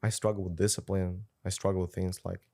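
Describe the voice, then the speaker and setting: A man's voice: low-pitched, flat delivery, quick pace, creaky, mellow. A man in his twenties with a Ukrainian accent, a podcast guest telling his story in an earnest, intense way. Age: 20s. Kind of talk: casual interview conversation.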